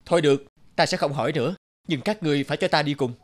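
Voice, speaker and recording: An actor playing a brave, earnest young archer hero in a cartoon fairy tale. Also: male voice, on the high side, racing, faint music behind, natural voice. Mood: stern. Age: young adult.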